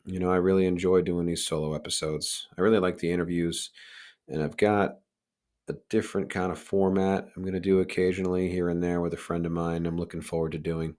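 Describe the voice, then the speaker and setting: Podcast host, male, deep raspy voice worn hoarse, talking candidly and informally on his own. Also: flat delivery, brisk, a little mellow. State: hoarse, recovering from lost voice. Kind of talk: casual solo podcast monologue.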